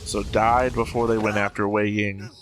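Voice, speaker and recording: A man giving casual, dryly understated commentary as he watches an animated series. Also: on the low side, normal speed, a little hiss or hum. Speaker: reaction video host. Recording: music playing behind the voice. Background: show soundtrack music.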